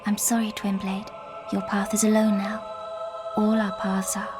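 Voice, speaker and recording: An actress playing an eerie blind seeress in a video game, grave and gentle, speaking in a breathy half-whisper with solemn foreboding. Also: female voice, medium pitch, flat delivery, normal speed, natural voice. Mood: solemn, tender. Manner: solemn, prophetic. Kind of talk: video game cutscene dialogue. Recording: music behind the voice.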